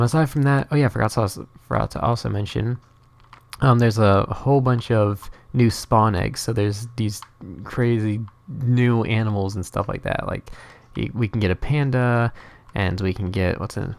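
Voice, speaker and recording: Gravelly young Norwegian man, rambling. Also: medium pitch, darkish tone. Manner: rambling, hesitant, many fillers and restarts. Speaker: gaming channel host. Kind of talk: casual gameplay commentary.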